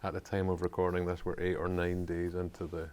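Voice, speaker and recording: A male podcast host with a low voice that stays level, with little rise and fall. He talks thoughtfully and fills his pauses with soft ums. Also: monotone. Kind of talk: podcast conversation.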